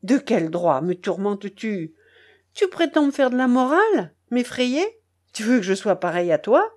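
A woman's voice: fairly high pitch, very animated, moderate pace. A woman voicing an angry, domineering grown man who calls himself a prince, in a scolding tirade from a storybook reading. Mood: indignant.

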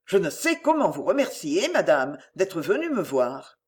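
A woman's voice: on the low side, very animated, unhurried, darkish tone. A woman voicing an anguished middle-aged bourgeois father in an audiobook, formal and urgent in manner. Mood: polite, restrained. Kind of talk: acted audiobook dialogue.

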